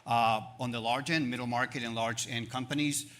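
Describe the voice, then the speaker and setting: An upbeat man in his fifties with an Argentine Spanish accent speaks in a bright, forward voice at mid pitch. He answers panel questions on stage with promotional energy, stacking up numbers between fillers and quick restarts. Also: fairly even intonation. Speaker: banking executive. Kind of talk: live panel interview on stage. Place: event stage.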